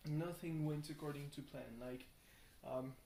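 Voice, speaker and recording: A tired young man rambles casually through a video diary, halting and thinking aloud, often questioning himself. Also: a little high, steady tone, gravelly, warm tone. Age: young adult. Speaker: video blogger. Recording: roomy echo, faint background noise.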